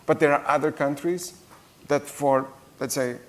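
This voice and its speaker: A man speaking carefully as a conference panelist, pausing and restarting often. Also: on the high side, steady tone. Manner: hesitant, careful, many restarts.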